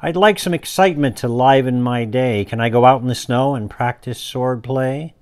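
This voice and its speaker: A man's voice: mid-range voice, moderate pace, clear, resonant tone, close to an everyday voice. A man doing an eager little girl. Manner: pleading, excitable. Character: eight-year-old girl, eager and soft-hearted.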